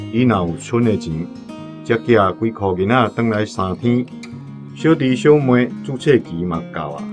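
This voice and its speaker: A man playing an earnest, middle-aged village letter-writer in a radio drama, with a Taiwanese accent and an instructing manner. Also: fairly low pitch. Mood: gentle, dictating. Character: respected village letter-writer uncle near fifty, earnest elder.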